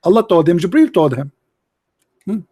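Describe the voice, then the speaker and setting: Mocking, high-voiced man debating live. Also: big pitch swings, warm tone, slightly rough, no echo. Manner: mocking, repetitive, interrupting with rapid questions. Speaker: livestream host debating callers. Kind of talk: confrontational live-stream debate.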